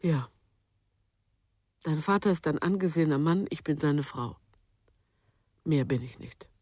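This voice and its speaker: Radio thriller: defensive, cold mother, husky low voice, curt delivery, dry sound. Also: lively intonation, unhurried, a little mellow, no echo, full character voice. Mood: guarded, cold. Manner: curt, guarded, evasive. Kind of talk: German radio crime drama acting.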